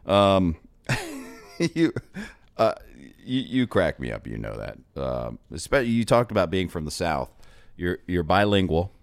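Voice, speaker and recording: A man hosting a podcast interview, teasing his guest and laughing, with many small pauses and fillers. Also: low-pitched, very animated, gravelly, no echo. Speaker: podcast host. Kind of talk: casual interview.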